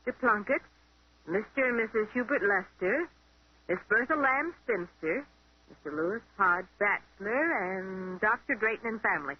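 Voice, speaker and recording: An actress playing a bright, inquisitive young secretary in a 1930s radio mystery. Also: female voice, medium pitch, lively intonation, moderate pace, husky. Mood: curious. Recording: muffled 1930s radio recording.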